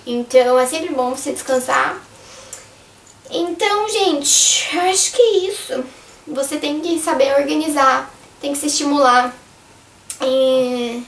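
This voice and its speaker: Hoarse brazilian girl rambling tips to her viewers, high voice, mic kinda far in an echoey room. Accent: Brazilian Portuguese. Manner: chatty, rambling, addresses viewers directly. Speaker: vlogger. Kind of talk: casual advice vlog.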